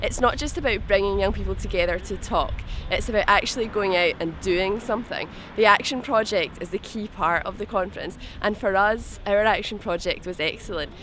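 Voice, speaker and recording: A Scottish woman gives a to-camera interview as a conference delegate. Her voice sits at a medium pitch, with faint vehicle noise around it. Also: fairly quick, few pauses, fairly bright tone. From Scotland. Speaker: youth congress delegate. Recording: faint urban traffic noise.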